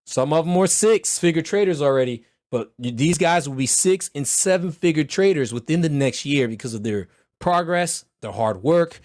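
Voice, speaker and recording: A man with a hoarse, recovering voice teaching a live trading mentorship session, talking in a loud, blunt, slangy, motivational way. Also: on the high side, lively intonation, normal speed, hardly any pauses, no room sound, clean studio sound. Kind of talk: livestreamed mentorship talk.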